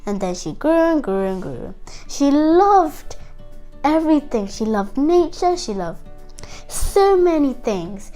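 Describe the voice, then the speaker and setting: A young girl tells a fairytale to other children as the host. Her voice is high and very lively, with an unhurried, chatty singsong delivery over music. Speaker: storytelling host of a kids' show.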